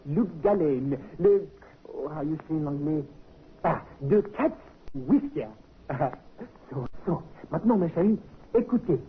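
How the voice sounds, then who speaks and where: French inventor fumbling his English, lively and high, vintage radio sound. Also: slight background noise. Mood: amorous. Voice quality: halting broken English, fumbling for words. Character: amorous, scheming little Frenchman inventor, adult man. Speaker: radio drama actor. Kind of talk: 1950s radio crime drama acting. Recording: muffled, tinny vintage radio recording.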